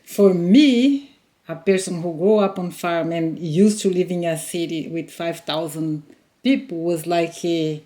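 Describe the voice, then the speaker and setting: A woman's voice: on the low side, unhurried, dark tone. A Brazilian woman in her forties, Portuguese her first language, telling her story in English with learner's grammar and frequent laughs. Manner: earnest, laughs often, simple learner's grammar. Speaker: vlogger. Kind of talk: casual storytelling.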